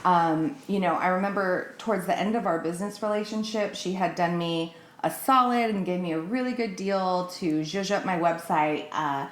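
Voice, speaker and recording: In a clearly roomy, echoing recording, a woman speaks in a fairly low voice. Her delivery is casual and conversational, like someone recounting personal experiences and replaying exchanges on a podcast. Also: normal speed, few pauses. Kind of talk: casual chat, personal anecdote.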